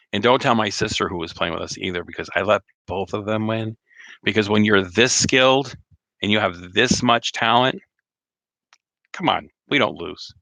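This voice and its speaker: A slightly hoarse man chatting casually on a live stream, rambling with many fillers and restarts. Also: lowish voice, pushed and bright, dry recording, rough recording. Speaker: RV vlogger hosting a live chat.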